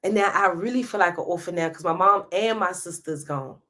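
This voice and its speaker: A woman's voice: lowish voice, a little fast, sharp tone. A woman in her thirties hosting a live stream, giving a passionate motivational talk straight to her viewers. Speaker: host.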